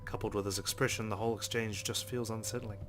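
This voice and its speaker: A man's voice: fairly low pitch, steady tone, fast talker, over loud music. A young man hosting a let's play, reading game narration aloud with casual reactions.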